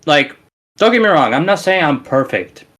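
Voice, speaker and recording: A man's voice: fairly high pitch, sing-song, moderate pace, a bit bright. A man with a Romanian accent, a musician guest on a casual podcast, talking in an emphatic, rambling way with many restarts.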